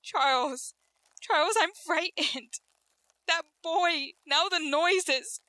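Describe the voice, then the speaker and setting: An actress playing a bitter, frightened middle-aged wife with an intense, melodramatic delivery in a 1940s style radio drama. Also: female voice, very high voice, slow pace, bright, forceful tone, dry recording, full character voice, low-quality recording. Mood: frightened, pleading. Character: bitter, frightened long-suffering wife of a scientist.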